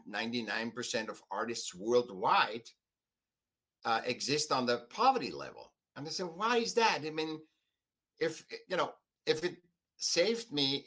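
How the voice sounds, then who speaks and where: An older man from Austria with an Austrian accent, telling his own story conversationally as a podcast guest in a halting, emphatic way. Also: medium pitch, bright, forceful tone. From Vienna, Austria. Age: 50s or older. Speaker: podcast guest, artist and author.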